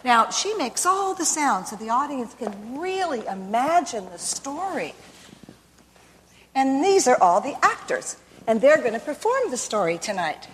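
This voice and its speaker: A woman's voice: sits high, big pitch swings, measured pace, a little hiss or hum. A woman acting as a mother who patiently explains a radio show to her daughter in a stage skit. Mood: explaining. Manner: explanatory, coaxing. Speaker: actress in a framing skit. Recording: long reverb in a hall.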